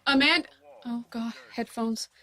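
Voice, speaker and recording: A woman's voice: fairly high pitch, a little slow, crowd noise, lightly acted. An American actress playing an anxious, protective mother in an audio drama, speaking fretfully and emphatically in a naturalistic style. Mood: hesitant, apologetic. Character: anxious, protective, indignant mother of a teenage daughter.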